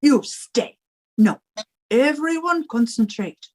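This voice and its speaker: An older Irish widow who runs a boarding house in a vintage radio comedy. She is combative and melodramatic, with a very high, slow delivery that swings widely from bossy orders to wails. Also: lively intonation, a little mellow, heavily acted. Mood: bossy, insistent. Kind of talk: 1950s radio comedy acting.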